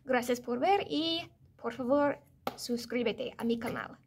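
American woman, 30s, higher voice, casual vlog to camera from her office desk, self-correcting. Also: a little slow, slight background noise. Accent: American English. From United States. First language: English. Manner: chatty, self-correcting, animated hand gestures. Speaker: vlogger. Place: office or classroom, indoors, medium room.

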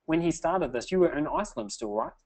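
A man's voice: fairly high pitch, expressive, brisk, darkish tone. A man hosting a sales webinar, talking in an upbeat salesy way and often tagging "okay?". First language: English. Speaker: webinar host.

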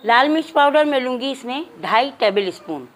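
A woman's voice: sits high, expressive, moderate pace, slight background noise. Woman talking through a home recipe. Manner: addresses viewers formally, counts out measures aloud. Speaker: cooking channel host. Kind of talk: step-by-step recipe demonstration. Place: home kitchen.

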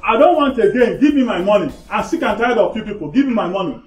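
A man with a Nigerian accent playing an angry, impatient village creditor, loud, demanding and repetitive. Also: sits high, animated, very fast, roomy sound, far from the mic, faint music behind. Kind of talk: Nollywood film acting.

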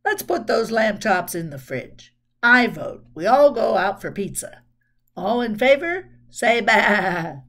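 A woman plays a storybook father, exasperated yet amused. He speaks in a mock-stern, deliberate way, dropping lower at times with lively swings in pitch. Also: heavily acted. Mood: decisive.

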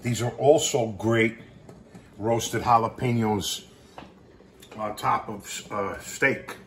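A man's voice: fairly low pitch, fairly even intonation, takes it slowly. A middle-aged man giving a casual, informal step-by-step cooking demonstration as he works in a home kitchen. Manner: informal, step-by-step instruction while working. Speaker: chef and cooking show host. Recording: kitchen noise, sizzling pans. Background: cooking sounds, kitchen ambience.

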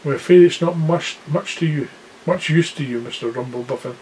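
A man voicing a kind, polite young girl who speaks in a bright, eager way. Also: on the high side, a little fast, a little hiss or hum, close to an everyday voice, low-quality recording. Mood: friendly.

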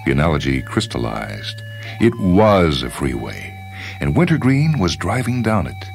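A man reading a short story as dramatic scripted narration, with deliberate, emphatic phrasing and pauses for effect. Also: low-pitched, wildly swooping pitch, creaky, soft background music. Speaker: story reader on a radio programme.

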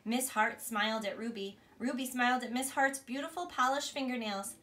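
Female storybook reader, thirties, high bright voice, expressive delivery, recorded in a small bedroom. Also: moderate pace. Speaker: teacher reading a bedtime story. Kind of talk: storybook read-aloud to a child. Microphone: camera a few feet away.

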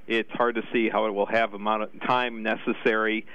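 A man's voice: on the low side, level tone, brisk, fairly bright tone, dull audio. A man explaining trade policy in a matter-of-fact news soundbite. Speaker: policy official interviewed.

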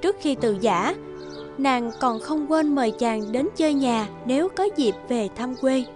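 High-pitched woman narrating a fairy tale. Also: unhurried, music in the background.

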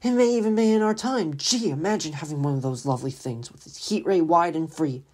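A man's voice: fairly high pitch, brisk, darkish tone, natural voice. A man voicing a fervent, half-crazed artilleryman survivor in a radio play, ranting and insistent. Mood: fervent, excited. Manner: insistent, ranting, punctuating with "see?". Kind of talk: old-time radio drama acting.

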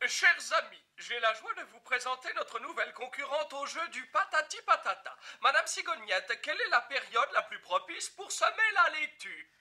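Cartoon game-show host cat, ceremonious, showy, piercing bright delivery, very animated. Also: sits high, normal speed, hardly any pauses, full character voice.

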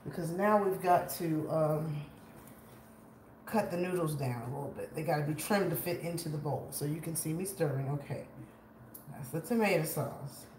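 Chatty home-cook host, 40s woman, slightly low voice, roomy kitchen sound on a tripod camera. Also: moderate pace, darkish tone. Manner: chatty, greets viewers by name while cooking. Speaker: cooking livestream host. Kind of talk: casual live cooking chat with viewers. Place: large home kitchen, indoors. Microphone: camera on a tripod, moving around it. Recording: echoey kitchen with faint room noise.

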